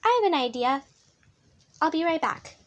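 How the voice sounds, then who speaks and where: A woman reading a children's picture book aloud in a soothing, expressive storytelling manner. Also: sits high, normal speed.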